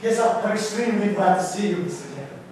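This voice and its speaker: Echoing far-off theatre recording, male actor as haunted polite gentleman, high voice, halting formal delivery, stage drama. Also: expressive. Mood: shaken, confused. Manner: halting, stammering, formal speech. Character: shaken, haunted, polite man recovering from terror. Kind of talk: live stage play acting. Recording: echoey theatre stage from far off, faint noise.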